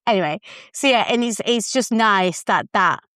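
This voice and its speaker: A woman chatting casually as a podcast co-host, telling things in an animated, conversational way. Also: mid-range voice, normal speed, no room sound, clean studio sound.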